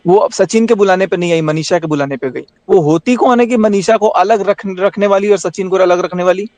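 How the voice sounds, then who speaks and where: A man with a notably high voice argues in a heated group call. He repeats himself insistently and cuts across others. He slips between Hindi and English, and the sound is dry and close. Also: a little fast, a little mellow, dry recording. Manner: switches between Hindi and English mid-speech. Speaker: panel participant at the centre of the dispute.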